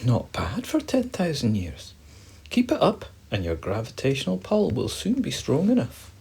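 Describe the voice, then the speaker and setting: A man's voice: medium pitch, wildly swooping pitch, normal speed, vocal fry, slightly rough, voice pushed up, exaggerated voice. A man voicing a wise, kindly sun who patiently mentors a young star in a bedtime story. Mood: encouraging. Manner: reassuring, gentle.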